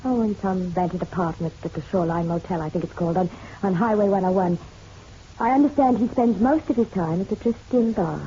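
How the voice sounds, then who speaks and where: A woman's voice: mid-range voice, a little fast, dark tone, lightly acted. Woman acting a proud, bitter society mother. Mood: bitter, firm. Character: genteel, proud, bitter middle-aged divorced mother. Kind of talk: noir radio drama acting. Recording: dull, slightly noisy radio-drama recording.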